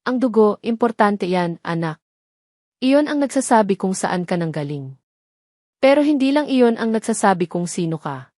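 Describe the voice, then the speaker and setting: A woman with a Filipino accent voicing an earnest, loving man, a gentle father and suitor in a romance audio story. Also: medium pitch, animated, moderate pace, no echo, clean studio sound, natural voice. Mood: gentle, reassuring.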